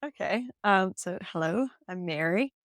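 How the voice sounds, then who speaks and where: A woman's voice: mid-range voice, expressive, a little slow, a bit bright, no echo. Young New Zealand woman, podcast guest, chatty, filler-heavy, close dry sound. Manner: chatty, lots of "um" and "like", laughs easily. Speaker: podcast guest, hiking guide. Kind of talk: casual interview chat. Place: hostel room.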